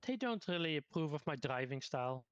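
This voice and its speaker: A man in casual improvised game roleplay, giving hesitant, halting answers over lo-fi voice chat. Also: on the high side, very animated, slight background noise. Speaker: player in online roleplay.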